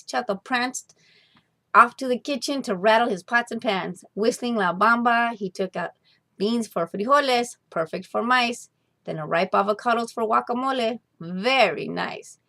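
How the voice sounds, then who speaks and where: A woman narrating a children's storybook expressively, with deliberate, drawn-out emphasis on key words. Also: on the high side, a bit bright. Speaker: storybook reader.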